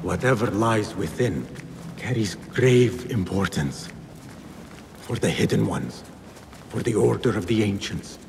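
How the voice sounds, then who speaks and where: A man acting a troubled, questioning young assassin in a video game, with a gravelly voice and a grave, deliberate delivery. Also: fairly low pitch, lively intonation, slight background noise. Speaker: game character actor. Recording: loud music behind the voice.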